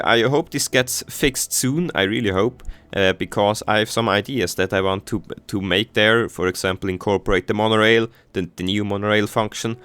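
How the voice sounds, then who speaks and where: A man's voice: lowish voice, a bit bright, clean tone. A young man whose first language is German, chatting casually over his city-building game with many fillers and restarts. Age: teens. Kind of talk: casual gameplay commentary.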